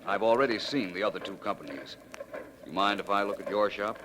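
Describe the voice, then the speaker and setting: An actor playing a suave, assured private detective with polite, precise speech in a 1940s radio drama. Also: male voice, fairly low pitch, a little fast, natural voice. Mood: courteous. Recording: noisy old radio recording.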